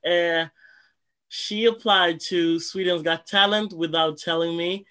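Young man from Congo, bright tense voice, fairly high, eager, restarts a lot, laptop call from home. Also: slow pace, clean tone. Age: young adult. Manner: eager, excitable, many restarts. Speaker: guest singer. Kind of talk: casual interview over video call. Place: room at home, indoors. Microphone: laptop webcam on a video call.

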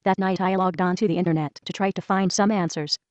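Brisk woman reading a letter, lo-fi. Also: lowish voice, fairly even intonation, no room sound. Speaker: reader of a whistleblower letter. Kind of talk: reading a first-person letter aloud. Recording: dull lo-fi voice track.

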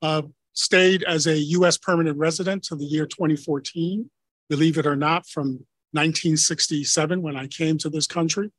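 A man's voice: a little high, steady tone, normal speed, a bit bright, dry recording. A man in his sixties, born in Honduras with Spanish as his first language, speaking without an accent as he shares his experience on a panel. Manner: conversational, storytelling. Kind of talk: personal account on a panel.

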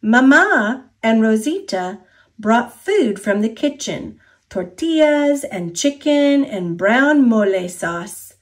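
A woman with an American accent reads a children's story aloud in an expressive, deliberate storytelling manner. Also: a little high.

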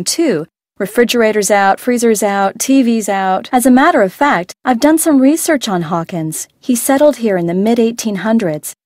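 An actress playing a frank, stubborn adult boat owner in a video game, talking in a matter-of-fact way. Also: female voice, on the high side, a little mellow, dry recording, clean studio sound, close to an everyday voice. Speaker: game voice actor.

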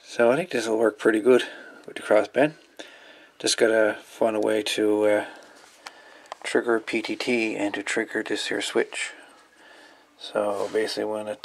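A man's voice: fairly low pitch, level tone, a little slow, vocal fry. A man hosting a casual hands-on electronics demo, rambling and thinking aloud as he goes. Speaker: hobbyist video host.

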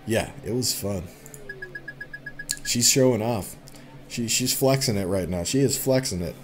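Male gaming YouTuber, young adult, lowish voice, chatty and informal, music underneath. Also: a little slow. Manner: informal, reacts with exclamations. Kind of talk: casual let's play commentary, reading game dialogue aloud. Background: game music.

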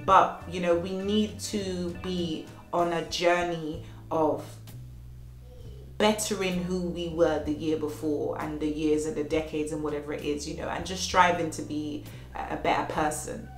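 A woman's voice: deep voice, steady tone, a little slow. Earnest woman in her thirties with a cold. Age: 30s. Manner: earnest, repeats phrases for emphasis. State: slight cold, voice going. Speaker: vlogger. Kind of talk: motivational life-lessons chat to camera. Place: at home, child playing in next room. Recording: echoey room with faint music.

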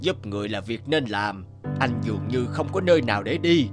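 A man playing a kindly, humble older woodcutter in a cartoon folk tale, gentle and plain-spoken. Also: mid-range voice, expressive, brisk, music in the background, natural voice. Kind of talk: animated folk-tale dubbing.

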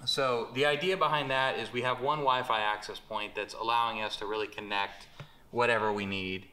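A man's voice: on the low side, steady tone, normal speed, sharp tone, low-quality recording. A young man casually walking viewers through a setup in a large bare warehouse workshop, talking with many fillers and moving about as he shows things. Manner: casual, filler-heavy, gestures while explaining. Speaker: company presenter. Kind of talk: casual product walkthrough.

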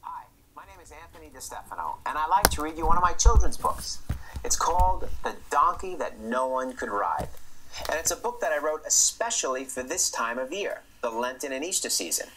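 A middle-aged man, the author, reading his rhyming children's book aloud in a lively, expressive way. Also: a little high, brisk, slight background noise, rough recording.

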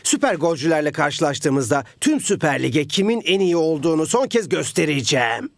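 An actor playing an arrogant, resentful football star villain in a cartoon, boastful and impatient. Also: male voice, a little high, sing-song, moderate pace, creaky, no echo, clean studio sound, soft background music. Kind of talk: animated cartoon dub.

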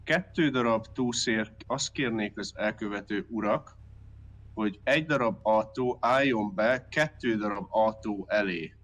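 A man's voice: lowish voice, fairly bright tone. A man playing a negotiator in an online roleplay game, talking casually and relaying messages. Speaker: roleplay player acting as negotiator.

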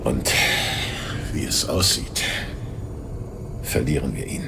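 A man's voice: rumbling low, slow, vocal fry, background noise, full character voice. An actor playing a solemn father who returns after many years, in an audio drama. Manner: solemn and earnest. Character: grave long-lost father, time-war soldier.

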